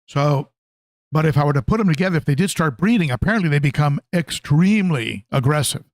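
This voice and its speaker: A man hosting a casual hobby livestream, talking conversationally and haltingly, often repeating phrases as he answers viewers. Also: on the high side, animated, no echo. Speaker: livestream host, aquarium hobbyist.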